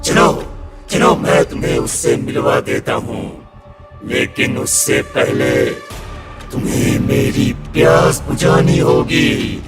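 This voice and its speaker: A man's voice: sits low, very animated, heavily acted. A man voicing a menacing, growling beast demon posing as a husband in a horror audio story. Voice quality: gravelly growling rasp. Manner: slow, threatening. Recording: loud music over the voice.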